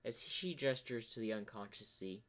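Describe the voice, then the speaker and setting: A man narrating improvised fan fiction, with halting, loosely built phrasing. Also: on the low side. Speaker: narrator and storyteller.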